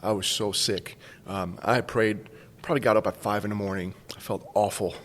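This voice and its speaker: A man's voice: on the low side, fairly even intonation, brisk, fairly bright tone, in a large hall. A man in his forties from Cuba, casually telling a personal story as a podcast guest. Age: 40s. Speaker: school staff member, podcast guest.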